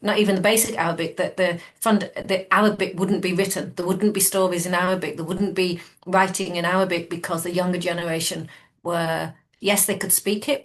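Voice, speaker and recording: Encouraging woman co-host. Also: fairly low pitch. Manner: warmly encouraging, with repeated words. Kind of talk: casual conversation.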